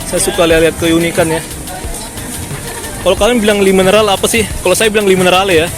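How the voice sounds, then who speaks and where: A man's voice: high-pitched, fairly even intonation, fast. Chatty man with a Batak accent. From Jakarta. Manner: chatty, keeps addressing viewers as friends. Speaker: vlogger. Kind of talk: casual walking vlog. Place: busy city street outdoors. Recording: street crowd and music behind. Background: crowd and music.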